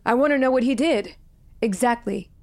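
A woman's voice: fairly high pitch, moderate pace, a little mellow, close to an everyday voice. A woman playing a bold, mouthy hostage in a thriller audio drama, blunt and incredulous. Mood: insistent. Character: mouthy, bold female bystander held hostage.

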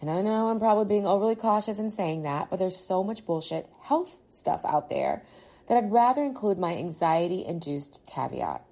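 A woman's voice: medium pitch, expressive, normal speed, dark tone. A woman doing a dramatic reading of a listener's email as a guest reader.

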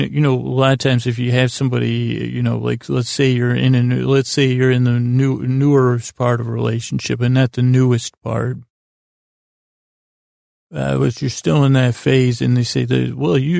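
A man hosting a bedtime podcast, rambling in a halting, meandering, self-deprecating and mildly silly way with a gravelly, creaky voice. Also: medium pitch, darkish tone, no room sound, studio quality. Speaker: podcast host. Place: small closet.

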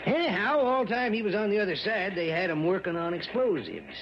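A man's voice: high-pitched, sing-song, fairly bright tone, heavily acted. An actor in an old-time radio drama playing a worried, rambling small-town mayor and newspaperman with a rural American accent. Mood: wry storytelling. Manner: rambling, folksy, emphatic drawn-out storytelling. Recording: muffled old radio recording, faint noise.

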